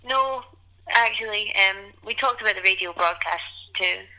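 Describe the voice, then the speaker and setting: An enthusiastic young poet in an audio drama. Her voice is medium in pitch with a crisp, very bright edge, through a telephone filter. She talks informally and irreverently. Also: unhurried, poor audio. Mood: defensive, musing. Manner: keen, informal, sweary. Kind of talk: audio play dialogue.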